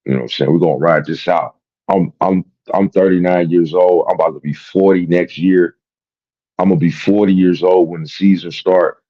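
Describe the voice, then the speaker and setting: A man's voice: deep voice, breathy edge, dull audio. A man hosting a sports talk show, rambling and repeating himself in casual, profane commentary. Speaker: sports podcast host.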